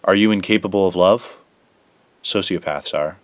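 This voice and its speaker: A man's voice: low voice, normal speed, no room sound, lightly acted. An actor playing a clinical, matter-of-fact teenage boy reading out test questions in a detached, formal way in an audio drama.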